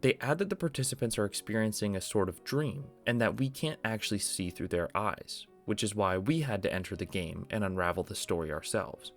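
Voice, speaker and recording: A young man hosting a video essay, reading scripted narration in a measured storytelling explainer style. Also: fairly low pitch, soft background music. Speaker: video essay host explaining an analog horror series.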